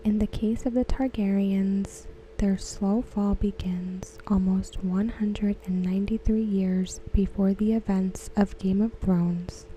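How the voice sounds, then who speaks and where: A woman speaks softly in an ASMR style, reading in a breathy near-whisper with a very dark, relaxed tone. Her delivery is slow, gentle and soothing, close to the mic. A faint background noise sits behind her voice. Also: medium pitch, poor audio. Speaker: ASMR host. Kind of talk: soft-spoken ASMR reading.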